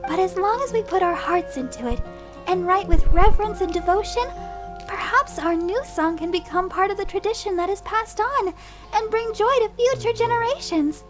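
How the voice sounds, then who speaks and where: A woman's voice: very high-pitched, moderate pace, music in the background. A woman voicing a cheerful young church deaconess in a fantasy game, sweet and eager.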